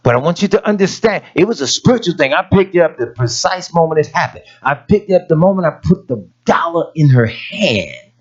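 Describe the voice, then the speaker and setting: A raspy-voiced male preacher whose pitch swings widely, dipping low and climbing high as he drives a point home. He preaches in a folksy storytelling way, and the recording sounds dull and lo-fi. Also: expressive, brisk. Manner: folksy storytelling preaching, rising to emphatic peaks. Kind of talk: sermon.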